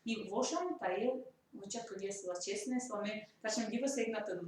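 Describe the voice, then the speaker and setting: A woman's voice: medium pitch, fairly quick, recorded from a distance. Woman, thinking aloud, very echoey room, faint hiss. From Komi Republic. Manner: explains at length, thinking aloud. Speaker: teacher at a methods meeting. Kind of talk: work meeting discussion.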